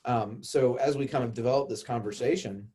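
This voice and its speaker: A man's voice: medium pitch, a little fast, warm tone. A man hosting a casual panel discussion, upbeat and joking as he steers the talk. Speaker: podcast host.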